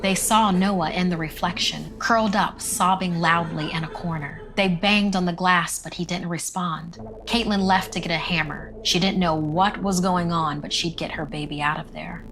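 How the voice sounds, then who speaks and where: A woman storyteller giving a dramatic scripted horror narration, with suspenseful phrasing and pauses. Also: fairly low pitch, noisy background.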